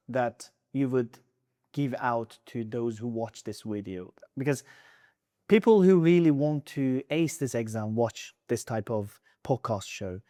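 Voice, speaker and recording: Host, a woman with a strikingly deep voice, eager questions, dry close sound. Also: dark tone, dry recording. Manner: eager questioning, short affirmations. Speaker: host, interviewer. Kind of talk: casual interview conversation.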